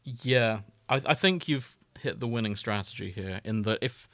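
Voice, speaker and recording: A man's voice: lowish voice, normal speed, a bit bright, dry recording. A man hosting a podcast in a casual, conversational style. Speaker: podcast host. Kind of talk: casual chat.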